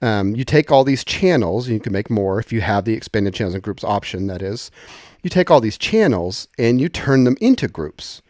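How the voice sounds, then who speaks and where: A man presenting an informal software tutorial in a conversational, explanatory way, thinking aloud as he goes. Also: lowish voice, very animated, moderate pace, no room sound, clean studio sound.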